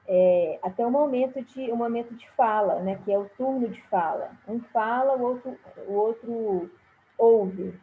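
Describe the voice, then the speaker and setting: A woman from Brazil teaching a recorded lesson, talking slowly with frequent fillers and restarts. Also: mid-range voice. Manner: slow, halting delivery with many fillers and restarts. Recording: tinny phone-like sound with faint hiss.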